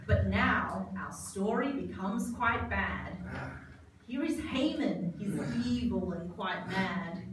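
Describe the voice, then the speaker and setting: A woman narrator telling a rhymed, scripted story for an amateur puppet show. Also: medium pitch. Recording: very echoey noisy hall, distant mic.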